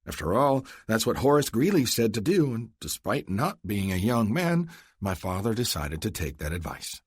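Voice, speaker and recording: A man's voice: fairly low pitch, very animated, moderate pace, breathy edge, no echo, clean studio sound, pitched above the performer's own voice. A man voicing a cool, charming young upper-class woman with a Philadelphia accent and a poised manner.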